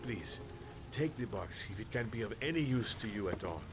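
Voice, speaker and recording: A man's voice: fairly low pitch, expressive, moderate pace, natural voice. An actor playing a dignified, stubborn, grieving male shopkeeper in a video game, speaking in formal, courteous, stilted phrases. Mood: grateful, sorrowful. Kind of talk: dramatic video game acting. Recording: clear background noise and ambience.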